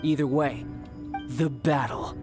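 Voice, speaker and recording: A man's voice: mid-range voice, steady tone, takes it slowly, lightly acted. A man voicing a cocky, battle-hungry young villain in a video game, with a taunting, smug and theatrical delivery. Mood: smug, explaining. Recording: music behind the voice.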